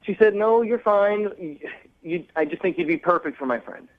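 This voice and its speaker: A man's voice: high voice, expressive, moderate pace. A man calling in to a radio show over the phone, chatting casually in an earnest, slightly hesitant way. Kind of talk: casual chat. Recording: dull lo-fi phone line.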